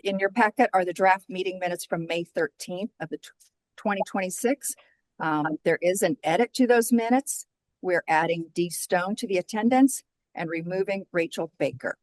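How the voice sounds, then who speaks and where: Woman, board chair, low register, dry close sound, measured procedural delivery on a video call. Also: no echo, rough recording. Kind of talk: formal meeting chairing.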